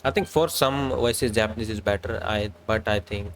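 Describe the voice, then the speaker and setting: A man's voice: lowish voice, normal speed, a little hiss or hum. A man giving casual commentary as a live game streamer.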